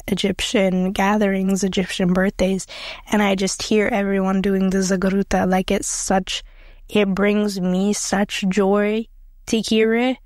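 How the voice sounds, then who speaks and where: A young American woman, a student, answering an interview casually with proud, warm talk and some restarts. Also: mid-range voice, no room sound, studio quality. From United States.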